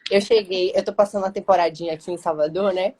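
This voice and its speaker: A woman's voice: lowish voice, steady tone, quick pace, dark tone. A woman with a Bahian Brazilian accent hosting a live interview, chatty and affectionate, talking in long, winding sentences. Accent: Bahian Brazilian Portuguese. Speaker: lawyer and mentor hosting a live stream. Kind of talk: casual live-stream interview.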